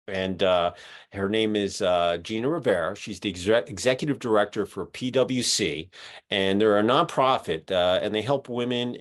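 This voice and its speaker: A man hosting an interview podcast, introducing his guest in a halting way with many uhs. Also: lowish voice. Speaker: podcast host.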